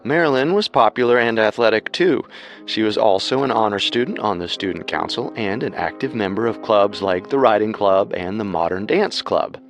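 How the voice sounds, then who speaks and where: A man reads scripted true-crime narration as a podcast host. His voice is on the low side, with faint music playing beneath. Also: normal speed.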